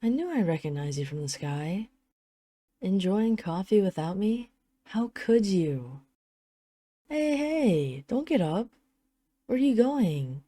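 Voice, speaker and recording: A woman's voice: mid-range voice, big pitch swings, slow pace, pauses often, warm tone, dry recording. A woman playing a haughty, teasing dragon queen in an intimate audio roleplay, with an imperious, sarcastic, mock-sweet manner. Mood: playful, indignant. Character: haughty, teasing dragon queen, possessive of her human pet husband. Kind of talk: intimate scripted audio roleplay addressed to the listener.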